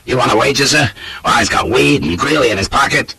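A man voicing a lecherous, boastful old gentleman from a storybook, with a coarse, blustering drawl. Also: medium pitch, a little fast, gravelly, pitched above the performer's own voice.

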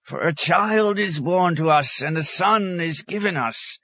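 Man acting a cranky ancient desert hermit, pitched up. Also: measured pace, sharp tone, dry recording. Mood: prophetic fervour. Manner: grumbling, crusty, archaic scriptural turns.

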